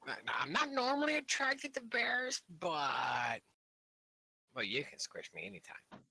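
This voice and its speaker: A player joking through a tabletop role-play scene, giggly and excitable. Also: mid-range voice, measured pace, no echo, poor audio.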